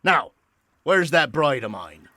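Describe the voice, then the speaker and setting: An actor playing a roguish but fond sea captain with a courtly, salty, theatrical manner, in a period audio drama. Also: male voice, fairly high pitch, big pitch swings, slow, bright, forceful tone, no echo, heavily acted. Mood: jovial.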